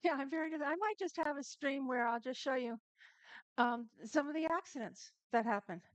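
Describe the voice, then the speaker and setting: A woman with a slightly high voice hosts a relaxed live cooking stream, talking straight to her viewers as she works. She chats freely and laughs easily. The sound is dry and close, with no room echo. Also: normal speed.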